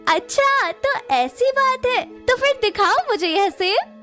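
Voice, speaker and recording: A woman's voice: extremely high pitch, lively intonation, slow, pushed and bright, faint music behind, full character voice. A woman voicing a young, assertive female monkey, an enchanted merchant's daughter, in a cartoon story. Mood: curious, playful. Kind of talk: Hindi moral-story cartoon acting.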